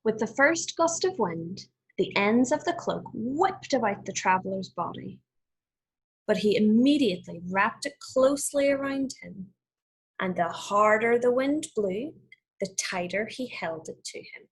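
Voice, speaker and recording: A woman teacher reading a story to children in an expressive, deliberate way, pausing to explain and ask questions. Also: on the high side, breathy edge, poor audio.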